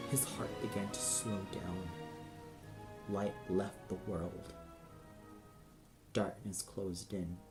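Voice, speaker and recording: Slow, low-voiced male story narrator. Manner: grave, deliberate. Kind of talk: dramatic scripted story narration.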